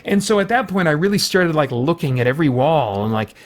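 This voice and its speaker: A man's voice: on the high side, sing-song, a little fast. Chatty man rambling through a review. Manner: rambling, many "you know" fillers and restarts; mildly exasperated complaining. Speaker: game reviewer. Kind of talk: casual spoken review.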